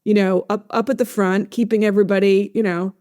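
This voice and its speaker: A woman's voice: medium pitch, flat delivery, a little fast. A woman in her forties hosting a podcast, chatty and joking with an old friend. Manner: chatty, warm, jokey. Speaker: podcast host. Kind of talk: casual interview talk.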